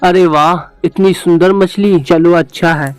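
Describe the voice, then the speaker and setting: A man's voice: high-pitched, steady tone, a little slow, clear, resonant tone, no room sound, soft background music. A man voicing a poor, henpecked village fisherman in a cartoon story, meek and plaintive. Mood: pleased.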